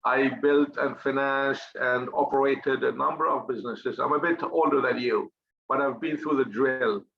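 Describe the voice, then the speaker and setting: A man's voice: medium pitch, creaky. A middle-aged man moderating a webinar panel, speaking hesitantly with many fillers. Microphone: webinar call microphone. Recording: dull lo-fi call audio with faint hiss.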